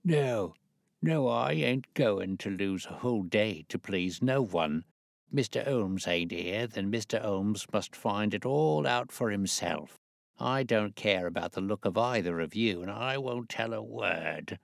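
Casting: man to play stubborn, grumbling aged labourer for an audiobook, slow delivery, creaky voice, dry sound. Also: fairly low pitch, animated, no echo. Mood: indignant. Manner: rustic ungrammatical speech, grumbling. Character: petulant, obstinate very old working man.

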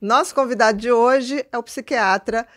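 A woman's voice: on the high side, moderate pace. A middle-aged woman with a Brazilian accent, chatting casually as a podcast host into a close studio microphone. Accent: Brazilian Portuguese. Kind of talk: casual interview chat. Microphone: desk broadcast microphone up close.

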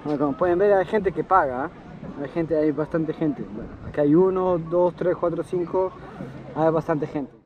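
A man's voice: a little high, moderate pace, narrow, tinny audio, noisy background. A young man, chatting and teasing as he films a casual vlog tour on busy city streets. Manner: chatty, teasing, laughs often. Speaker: vlogger.

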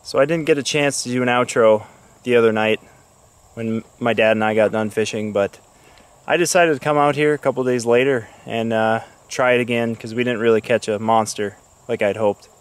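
Man, 30s, fishing vlogger, casual relaxed chat, mid pitch, outdoors, arm's-length action cam, crickets behind. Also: normal speed, clean tone. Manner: relaxed, chatty, talking to camera. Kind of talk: casual vlog narration. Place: outdoors by a lake. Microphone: action camera held at arm's length.

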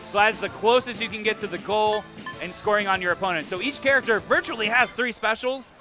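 A man giving live commentary at a video game tournament, excitable and explanatory in manner. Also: sits high, brisk. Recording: music behind, live event stream. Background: game music.

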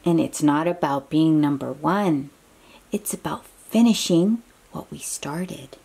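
A woman's voice: deep voice, a little slow, vocal fry, warm tone, breathy edge. A woman reading a picture book aloud to young children in an encouraging, exclaiming way, with chatty asides and questions to the listener.

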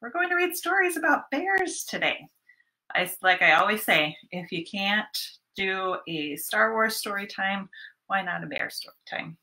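Woman, storytime host, picture-book read-aloud, lively intonation, unhurried, teacherly, expressive. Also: mid-range voice. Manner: expressive, deliberate teacherly delivery. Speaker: children's library storytime host. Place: small home room, indoors.

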